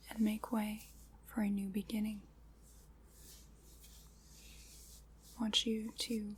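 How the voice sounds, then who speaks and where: A woman playing a gentle, solemn witch in an ASMR roleplay, guiding a ritual in a soft, breathy, deliberate whisper. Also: fairly high pitch, heavily acted. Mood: soothing, commanding. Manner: slow, soothing, deliberate guided-ritual delivery.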